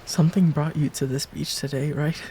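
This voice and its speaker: A man acting a heartbroken ex-boyfriend in an intimate romantic audio roleplay, pleading in a halting, breathy, emotional way. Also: on the high side, fairly even intonation, dark tone, slight background noise, natural voice. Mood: searching, hopeful.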